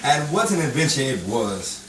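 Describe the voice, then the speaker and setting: An actor playing an earnest, wistful romantic hero in a nostalgic radio drama, with a halting delivery. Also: male voice, medium pitch, big pitch swings, a bit bright, a little hiss or hum. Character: wistful romantic hero recalling his lost love. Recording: roomy, distant live stage recording, crowd.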